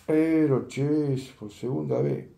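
A man's voice: on the high side, slow, gravelly, warm tone, roomy sound. An older man, teaching a fishing rig setup in a chatty tutorial and often asking his viewers "¿eh?" and "¿ves?". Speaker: fishing instructor. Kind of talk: hands-on tutorial demonstration. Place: indoor workroom at a table.

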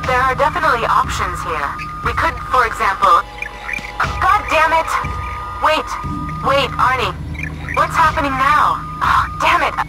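A harried radio contact. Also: female voice, high voice, sing-song, measured pace, pauses often, poor audio. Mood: defensive. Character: harried female ally calling from orbit. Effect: radio transmission filter. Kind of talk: video game character acting. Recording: radio voice under loud music.

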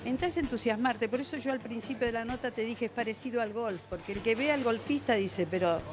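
A woman's voice: a little high, fairly quick. A woman in her seventies with an Argentine accent answers interview questions conversationally, in a reflective, explanatory manner. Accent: Argentine Spanish. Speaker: veteran bowler being interviewed. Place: indoor bowling alley. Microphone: handheld interviewer's microphone. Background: music.

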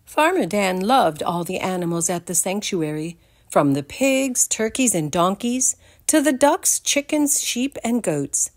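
An older woman giving an expressive read-aloud storytime for young children, in a deliberate, animated manner. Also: mid-range voice. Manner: deliberate, animated, addresses the listeners with questions.

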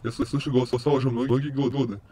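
A man's voice: medium pitch, little pitch movement, very fast, dark tone, slightly rough, close to an everyday voice. A man voicing an insane, menacing skeleton in an amateur comic dub, with glitchy stutters and repeated words. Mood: casual, unhinged.

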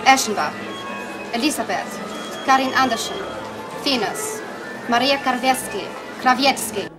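A formal TV announcer. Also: female voice, high-pitched, a little slow. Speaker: broadcast announcer. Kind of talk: film drama acting. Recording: film soundtrack, crowd and music.

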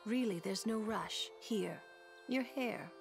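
An actress playing a calm, dryly teasing female mage in a video game. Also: mid-range voice, slow pace, vocal fry. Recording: game music behind voice.

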